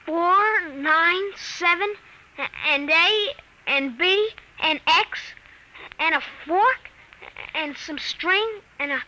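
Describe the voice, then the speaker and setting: An actress playing a puzzled, obedient young child with halting speech in a 1950s radio drama. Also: female voice, extremely high pitch, bright, forceful tone, heavily acted. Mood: halting, concentrating. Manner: halting, laboured reciting. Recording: noisy old radio recording.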